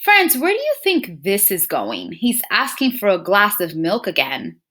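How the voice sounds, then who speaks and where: Expressive, slow-paced woman reading to children. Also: medium pitch. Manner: expressive, addresses children directly with frequent questions and musing hums. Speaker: teacher reading a picture book aloud to young pupils. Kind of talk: children's read-aloud with questions to the listeners.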